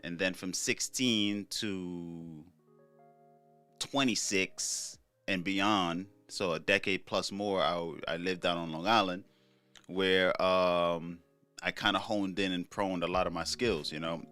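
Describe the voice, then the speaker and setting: Young male podcast host from Trinidad, very low voice, loose rambling delivery, faint music underneath. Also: steady tone, slow pace, bright, forceful tone, clear, resonant tone. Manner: laid-back, rambling, profane, many fillers. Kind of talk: casual solo commentary.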